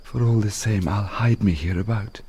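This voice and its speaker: An actor playing a fearful, dutiful manservant in a Shakespearean radio drama. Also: male voice, on the low side, normal speed, warm tone. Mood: wary, furtive. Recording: long reverberation, clear background noise.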